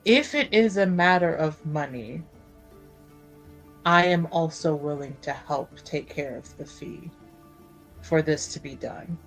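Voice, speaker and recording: Low, deliberate noblewoman played by a woman. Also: soft background music, poor audio. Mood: composed, earnest. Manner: formal, careful, deliberate. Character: formal, composed, devoted fantasy noblewoman negotiating.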